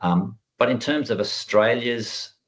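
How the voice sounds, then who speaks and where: A man's voice: medium pitch, unhurried, fairly bright tone. A man giving an informal online presentation as a workshop presenter, in a conversational, self-deprecating way. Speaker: workshop presenter, working group chair. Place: online video call.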